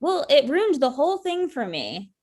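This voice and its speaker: A woman's voice: high voice, big pitch swings, moderate pace, a little mellow. Woman acting a dry, wounded ex-girlfriend. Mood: resentful. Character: wounded, sardonic ex-girlfriend in her thirties. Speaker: actor in a table read. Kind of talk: naturalistic comedic dialogue reading.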